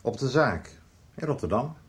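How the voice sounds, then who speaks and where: Guy playing earnest reproachful brother in radio drama, very varied pitch. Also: big pitch swings, normal speed, natural voice. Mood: earnest, confronting. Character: earnest, reproachful brother confronting his stubborn sibling. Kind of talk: Dutch radio soap acting.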